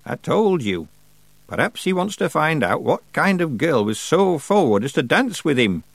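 A man voicing a stern, sharp-tongued old witch woman, scolding and sardonic. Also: fairly high pitch, sing-song, moderate pace, gravelly, no echo, voice pushed up, heavily acted. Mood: scornful.

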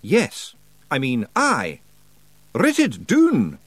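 A man's voice: medium pitch, wildly swooping pitch, very slow, breathy edge, no echo, voice pushed up, heavily acted. A man doing an earnest, nervous young hero. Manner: polite and anxious. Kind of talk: audiobook character voice.

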